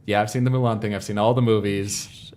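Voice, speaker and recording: Low-voiced man chatting enthusiastically. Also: fairly even intonation, a little fast, darkish tone. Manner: enthusiastic, detailed explaining. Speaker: podcast panelist. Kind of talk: casual fan chat about anime parodies.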